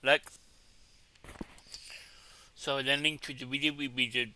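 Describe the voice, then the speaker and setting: A man's voice: medium pitch, flat delivery, a little slow, pushed and bright, slight background noise, rough recording. A man hosting a reaction video, introducing the clip and chiming in with short affirmations. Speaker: reaction channel host.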